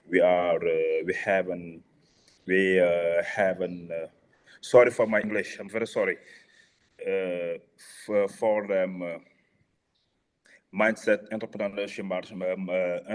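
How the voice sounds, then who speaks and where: Senegalese man, French accent, very deep flat voice, halting panel answers. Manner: halting, searching for words with frequent uh fillers. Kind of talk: panel discussion.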